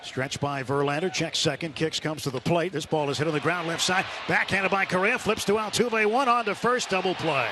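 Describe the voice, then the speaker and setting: A man's voice: a little high, fairly quick, hardly any pauses. A man calling a baseball game play-by-play on live radio. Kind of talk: live radio sports commentary. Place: stadium broadcast booth. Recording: noisy outdoor ballpark broadcast sound. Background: loud ballpark ambience.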